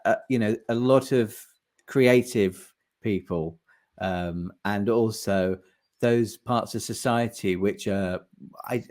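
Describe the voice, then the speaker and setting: Male host, mid-pitched voice, casual and halting, fumbling for words between questions. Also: darkish tone. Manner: halting, searching for words, with many fillers. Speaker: podcast host. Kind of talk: casual interview.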